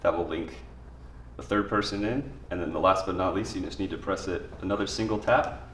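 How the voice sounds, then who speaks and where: Male tutorial presenter, low-pitched, plain informal delivery, echoey room sound with faint background noise. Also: a little fast, fairly bright tone, recorded from a distance. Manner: plain, informal spoken explanation. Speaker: product demonstrator. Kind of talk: instructional product tutorial, step by step.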